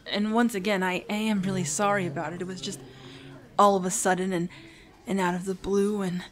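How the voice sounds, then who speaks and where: A woman's voice: mid-range voice, little pitch movement, a little fast, natural voice. A woman playing a shy, nervous teenage hero-in-training in a romantic audio roleplay, speaking in a hesitant, apologetic, rambling way. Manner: hesitant, stammering, apologetic, prone to anxious rambling.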